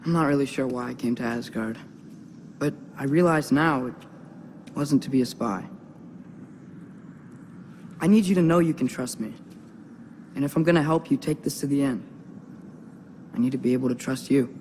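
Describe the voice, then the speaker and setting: A man's voice: medium pitch, moderate pace, long pauses, darkish tone, a little hiss or hum, lightly acted. A male actor playing an earnest, curious teenage boy in a cinematic video game, sincere and a little hesitant.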